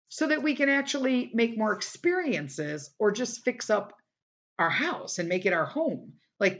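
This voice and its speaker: A woman's voice: mid-range voice, vocal fry, a bit bright. A middle-aged woman hosting a casual solo podcast, talking bluntly and emphatically with some swearing, her voice a little worn from a day of recording. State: voice starting to give out from a day of recording. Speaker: podcast host.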